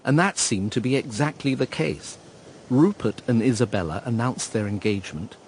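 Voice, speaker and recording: A man's voice: medium pitch, lively intonation, moderate pace, a little mellow, a little hiss or hum, natural voice. An actor playing a wry, reflective man narrating his memories in a radio drama. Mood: measured. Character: wry, reflective man recalling past events. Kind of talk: scripted first-person radio drama narration.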